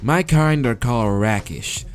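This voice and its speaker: A man's voice: medium pitch, unhurried, close to an everyday voice. A man voicing a curt, condescending male creature who runs a library, impatient and haughty, in a visual novel. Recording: music behind the voice.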